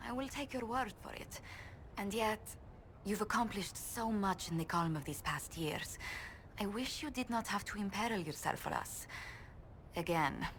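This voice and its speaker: A woman's voice: medium pitch, expressive, moderate pace, a little hiss or hum, natural voice, low-quality recording. A woman playing a polite, caring diplomat in a video game, formal and considerate. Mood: concerned. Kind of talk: video game character dialogue.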